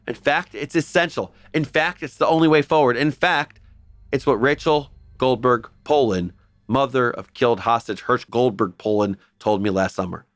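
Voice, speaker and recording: A man's voice: mid-range voice, moderate pace, no room sound. A man hosting a scripted history explainer, talking earnestly with the odd wry aside. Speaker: host.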